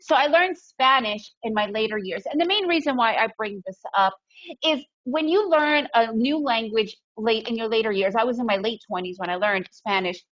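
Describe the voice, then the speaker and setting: A woman's voice: fairly high pitch, normal speed, a bit bright. Upbeat woman presenter, dull lo-fi audio. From Soviet Union, raised in the US. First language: Russian. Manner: upbeat, emphatic, often adds "right?". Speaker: webinar presenter, day trader. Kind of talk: webinar presentation. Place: home in Guatemala.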